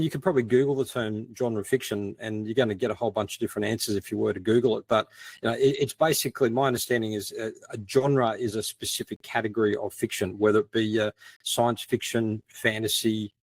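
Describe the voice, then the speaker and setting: A man's voice: mid-range voice, darkish tone, no echo. A man in a casual panel discussion, talking in an informal, self-deprecating way. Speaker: podcast co-host as panel guest.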